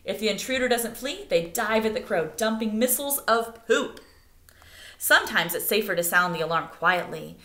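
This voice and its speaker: A woman reads a nature picture book aloud as expressive narration meant for children. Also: mid-range voice, moderate pace. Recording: echoey room.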